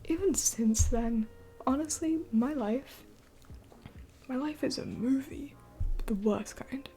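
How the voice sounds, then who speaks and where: A woman's voice: high-pitched, slow, dark tone, soft background music. A woman in her twenties hosting a late-night live Q&A, rambling with many fillers and often dropping to a whisper. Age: 20s. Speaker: livestream host.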